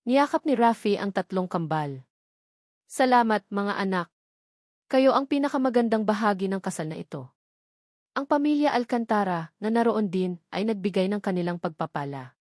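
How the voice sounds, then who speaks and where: Filipino lady narrating a love story, mid pitch, dry close recording. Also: expressive, moderate pace, a little mellow, no room sound. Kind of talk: scripted romance story narration.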